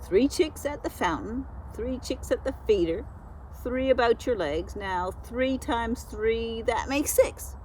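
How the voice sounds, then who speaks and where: A woman's voice: on the high side, expressive, warm tone, slight background noise, voice pushed up, low-quality recording. A woman voicing a proud, silly female goose in a children's storybook, self-important and sure of herself. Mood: smug, knowing. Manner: self-important, exclaiming, deliberate. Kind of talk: children's storybook character reading.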